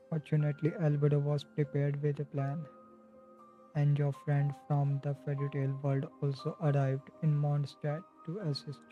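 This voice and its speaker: A man with an Indian accent livestreaming a game, chatting casually and reading story text aloud. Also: fairly high pitch. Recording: dull lo-fi stream mic, music behind. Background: game music.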